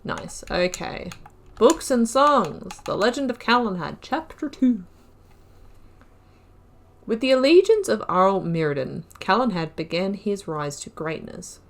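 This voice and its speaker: A woman's voice: medium pitch, very animated, unhurried. A woman hosting a game playthrough, reading lore entries aloud and breaking off into casual, rambling asides. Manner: chatty, trails off and restarts mid-sentence. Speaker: gaming video host.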